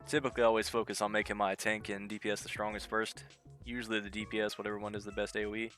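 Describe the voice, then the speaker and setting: A man's voice: fairly low pitch, level tone, very fast, a bit bright. A young man with a head cold giving casual commentary on a mobile game, thinking aloud as he reads out skill text. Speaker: gaming channel host. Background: game music.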